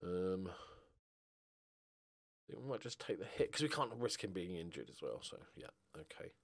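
A man's voice: low-pitched, sing-song, normal speed, gravelly. A middle-aged man giving casual, sweary commentary on a football manager game, talking to his players and viewers into a close mic. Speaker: gaming streamer. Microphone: close desk microphone.

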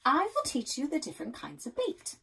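A woman's voice: high voice, sing-song, brisk, bright, forceful tone, pitched above the performer's own voice, exaggerated voice. Woman voicing an emphatic, bossy young girl. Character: opinionated young girl who loves fishing, easily outraged.

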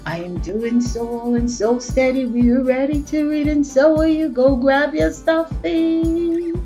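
A woman's voice: high-pitched, a little slow, dark tone, muffled recording. A woman hosting a children's picture-book read-aloud with a playful, exaggerated, sing-song delivery. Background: music.

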